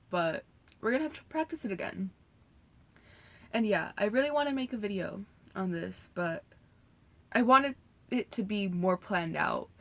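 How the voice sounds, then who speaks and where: Young woman with a gravelly voice. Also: mid-range voice. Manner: earnest and reassuring, with loose filler-heavy phrasing. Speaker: vlogger. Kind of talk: casual direct-to-camera talk.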